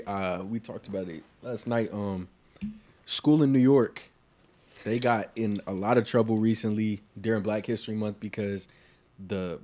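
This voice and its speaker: Mellow young man, relaxed chat. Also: lowish voice. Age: 20s. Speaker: podcast co-host. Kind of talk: casual chat.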